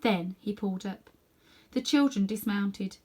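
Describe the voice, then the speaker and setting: A woman narrating a children's novel as an expressive read-aloud storyteller. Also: medium pitch, a little slow. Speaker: storybook reader.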